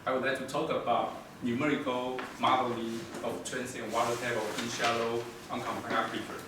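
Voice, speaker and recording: A man's voice: medium pitch, level tone, fairly quick. Hesitant lecturing man, echoey hall. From Taiwan. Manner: hesitant, many fillers, polite. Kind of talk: academic presentation. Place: lecture room. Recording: echoey room from far off, noisy.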